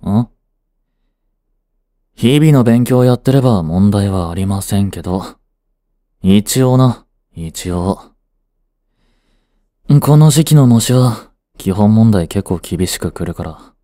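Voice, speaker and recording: Man playing a low, mellow, aloof teenage boyfriend. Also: expressive, takes it slowly, pauses often, no echo, clean studio sound. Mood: dry, teasing. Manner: cool, curt, nonchalant teasing. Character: aloof, dry, secretly fond teenage boyfriend. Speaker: voice actor. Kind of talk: romantic situation voice drama, one-sided dialogue.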